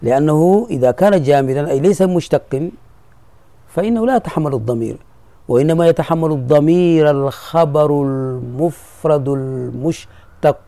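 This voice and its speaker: A man's voice: fairly high pitch, mellow, dry recording. A man teaching Arabic grammar as a sheikh, patiently explaining and repeating key phrases and checking that his students follow. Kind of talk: classroom explanation of a grammar poem.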